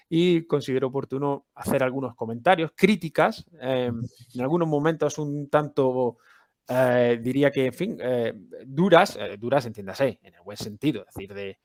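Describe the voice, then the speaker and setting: A man hosting a scholarly interview podcast, speaking at an average pitch in a measured, searching way. He pauses often and restarts phrases. The sound is dry and close, with little room in it. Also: dry recording. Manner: measured, hesitant, many fillers and restarts. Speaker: podcast host.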